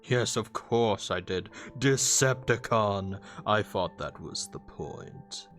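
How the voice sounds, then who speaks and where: Man playing a smug, low robot warlord. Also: lively intonation, slow pace, music in the background. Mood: smug, mocking. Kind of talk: fan comic dub voice acting.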